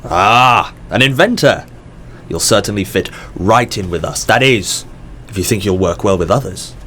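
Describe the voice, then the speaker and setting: A man's voice: fairly low pitch, animated, normal speed, pushed and bright, slight background noise. An actor in an audio drama playing a mysterious, encouraging male company boss who is polished, effusive and probing. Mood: encouraging, eager.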